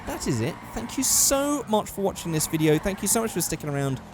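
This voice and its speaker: Gaming host, male, hoarse and fading, lively pitch, slightly high voice, engine noise under him. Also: brisk. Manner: excitable, jumps up in pitch at close calls. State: hoarse, losing his voice. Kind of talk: casual gameplay commentary.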